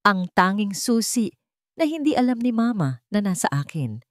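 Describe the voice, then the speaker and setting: A woman's voice: medium pitch, sing-song, moderate pace, no room sound, pitched above the performer's own voice, natural voice. Woman acting young man, anxious heir, earnest, determined, audio drama, dry sound. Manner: shocked, earnest.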